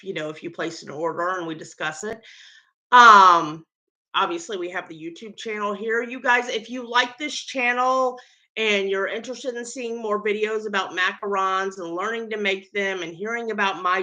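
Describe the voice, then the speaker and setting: A woman's voice: medium pitch, pushed and bright. A tired woman with a Southern American accent, chatting casually to camera on her baking vlog, rambling with lots of ums. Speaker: home baker and vlogger.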